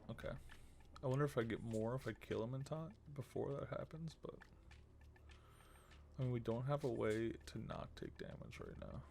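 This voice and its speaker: A man's voice: medium pitch, normal speed, creaky, pauses often, a little mellow, a little hiss or hum. A man giving casual let's play commentary, muttering his decisions and reading game text aloud as he goes. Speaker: video game streamer. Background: game music.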